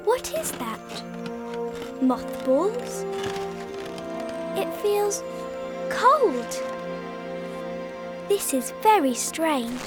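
A woman's voice: extremely high pitch, big pitch swings, drawn-out words, long pauses, heavily acted. An actress playing a curious, wonderstruck little girl in an audio drama, murmuring to herself. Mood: puzzled wonder. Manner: hushed wonder, talking to herself. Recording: music under the voice.

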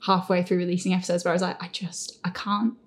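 A woman's voice: lowish voice, level tone, fast talker. Woman, podcast host, giggly, rambling, self-deprecating, casual conversation. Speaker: podcast host, creator and voice actor of the show. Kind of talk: casual chat, answering listener questions.

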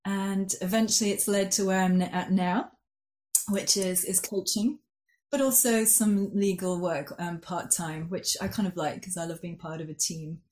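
A woman with a fairly low voice answers interview questions over a video call. She reflects as she speaks, restarting phrases and filling pauses with "um." She grew up in Switzerland and now works as a coach. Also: fairly even intonation, a little mellow. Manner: thoughtful, many restarts and "um"s. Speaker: life coach and part-time lawyer.

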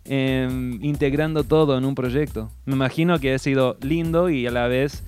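A man with an Argentine accent interviewing a musician in a casual, friendly way, with many thoughtful pauses. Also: mid-range voice, fairly even intonation, darkish tone, clear, resonant tone, faint music behind. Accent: Argentine Spanish. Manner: friendly, chuckles, fillers like "em".

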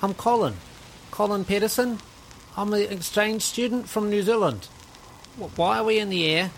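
A man's voice: high-pitched, moderate pace, gravelly, slight background noise, poor audio. A man voicing a flustered young male exchange student who stammers in panic. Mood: bewildered.

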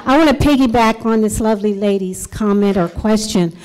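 A woman speaks up from the audience of a meeting into a microphone handed to her. Her tone is earnest and her phrasing a little halting. Her pitch sits in an ordinary middle range. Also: darkish tone, slight background noise, poor audio. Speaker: volunteer asking a question. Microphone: handheld microphone passed to audience.

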